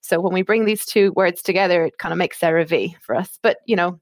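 A woman's voice: on the low side, fairly quick, no echo. A woman in her thirties with an Irish accent, explaining her work as a guest in an interview, chatty and full of fillers. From Ireland. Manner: chatty, with frequent fillers and restarts. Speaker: guest, medical marketing manager. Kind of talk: conversational interview.

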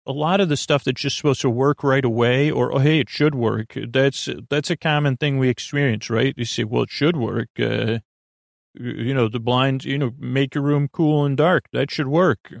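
A man hosting a sleep podcast in an unscripted, meandering monologue, halting, repeating himself and trailing off mid-thought. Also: medium pitch, vocal fry, no room sound, studio quality. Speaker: podcast host.

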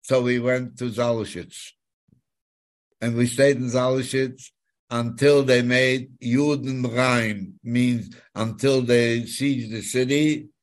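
A man in his nineties from Poland, with a Polish accent, giving personal testimony in a halting way, often repeating words and phrases. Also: medium pitch, steady tone. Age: 90s. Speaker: guest, Holocaust survivor telling his story.

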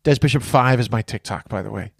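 A man's voice: medium pitch, fast talker, vocal fry, breathy edge, dry recording, clean studio sound. A man in his forties with an American accent hosting a podcast in casual chat, rambling with frequent restarts. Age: 40s. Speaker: podcast host.

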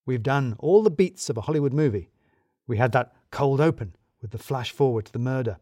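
A man's voice: mid-range voice, animated, fairly quick, mellow, no room sound, clean studio sound. A man narrating a scripted first-person mystery story in a wry, arch, wordy storyteller's manner.

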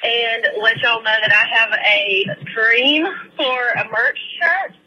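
A woman's voice: fairly high pitch, slow, husky, lo-fi sound. Chatty woman on a voicemail. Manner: casual, filler-heavy, self-deprecating. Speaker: voicemail caller. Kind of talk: phone voicemail message. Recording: phone voicemail line.

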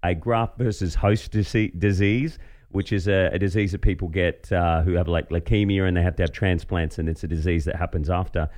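A man's voice: deep voice, steady tone, brisk, a little mellow. A man with an Australian accent hosting a podcast in an informal, self-mocking, chatty way. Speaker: podcast host; professional voiceover artist. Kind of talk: casual interview chat.

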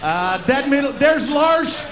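A man's voice: very high-pitched, lively intonation, takes it slowly, a crowd in the background. A man co-hosting a live comedy show, bantering with the audience in a mock-earnest, repetitive way. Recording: noisy live venue with audience.

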